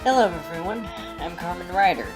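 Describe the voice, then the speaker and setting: A woman's voice: on the low side, a little fast, music in the background, rough recording. A woman speaking as a guest commentator in a video, giving scripted commentary.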